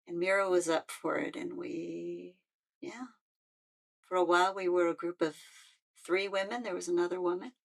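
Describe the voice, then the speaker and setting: Older woman, low gravelly voice, heartfelt and halting, personal reflection. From United States. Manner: halting and heartfelt, with frequent restarts. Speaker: musician and peace activist.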